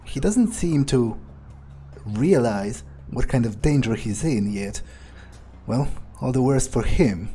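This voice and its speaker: A man roleplaying a ruthless, calculating vampire in a game, musing aloud in halting, profane sentences. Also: medium pitch, animated, warm tone, soft background music. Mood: wary, scornful. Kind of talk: in-character improvised game playthrough monologue.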